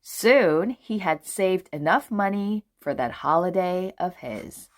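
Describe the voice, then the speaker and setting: A woman reading a children's storybook aloud in an expressive, deliberate way with dramatic phrasing. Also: on the low side. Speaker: children's book reader.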